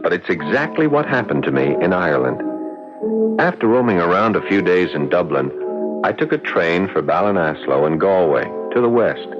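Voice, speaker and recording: An actor playing a mild, bemused young American in a radio drama, conversational in tone. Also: male voice, low-pitched, very animated, moderate pace. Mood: wry, reflective. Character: mild, bemused young American doctor telling his story. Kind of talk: radio drama acting, first-person narration. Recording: dull vintage radio, music behind.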